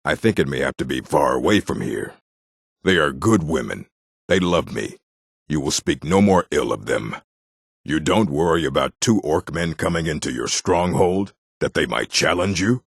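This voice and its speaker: An actor playing a gruff, proud orc in a fantasy video game, blunt and stern, with a deep, husky, gravelly voice. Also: male voice, expressive, normal speed, no room sound, clean studio sound, full character voice. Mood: plain, brooding. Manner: blunt, stern, terse, solemn. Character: gruff, proud, hostile male orc of a stronghold.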